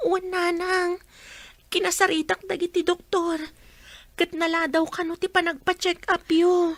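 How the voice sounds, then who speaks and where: A young daughter in a village radio drama, as a woman acts her, with a very high, earnest voice. She talks in a gently persuasive, pleading way. The sound is close and dry. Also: level tone, a little slow, no room sound. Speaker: daughter of a blinded mother.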